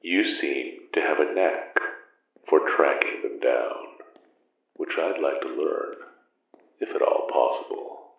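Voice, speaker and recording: Very deep, echoing ancient mentor. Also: male voice, heavily acted. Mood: grave, measured. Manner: formal, archaic, measured phrasing. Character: ancient solemn male magic-wielder, formal and secretive mentor. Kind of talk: audio drama acting. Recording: muffled narrow band, echoey, distant.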